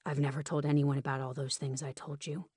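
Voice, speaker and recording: A woman narrator puts on a guarded man from a romance audiobook. The voice drops low and sounds close and dry. He speaks with cautious reluctance, weighting his words as he confides in his lover. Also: level tone, brisk, warm tone, husky, no room sound. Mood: confiding. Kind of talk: audiobook character dialogue.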